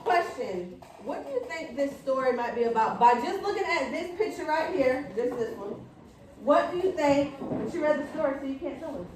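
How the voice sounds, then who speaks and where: A woman leads an interactive classroom read-aloud in a high voice, giving directions and prompting with questions. She sounds distant from the mic in a very reverberant room, with clear noise behind her. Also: lively intonation, normal speed. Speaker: elementary school teacher. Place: indoor classroom with children. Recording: roomy, echoing, noisy classroom, distant mic.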